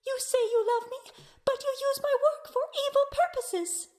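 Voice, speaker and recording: A woman's voice: very high-pitched, moderate pace, a little mellow. A woman playing an indignant, principled female scientist in a melodramatic radio play, earnest and dramatic. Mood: fed up.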